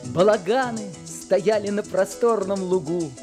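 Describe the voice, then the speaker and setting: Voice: mid-range voice, measured pace, over music, lightly acted. An actor voicing a teenage boy who narrates his own story in an old radio play, in a wistful storytelling manner.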